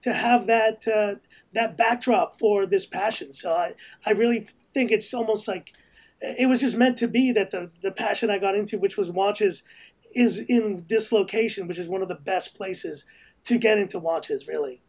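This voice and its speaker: A man's voice: high voice, moderate pace. A man with an American accent, a podcast guest talking casually and rambling with many restarts. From raised in Hong Kong, family from El Salvador. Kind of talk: casual conversation.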